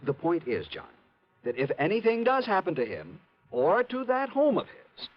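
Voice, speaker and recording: A man's voice: high voice, huge pitch swings, moderate pace. A man playing a worried, earnest insurance company man in an old radio drama, formal, precise and insistent. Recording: muffled old radio recording.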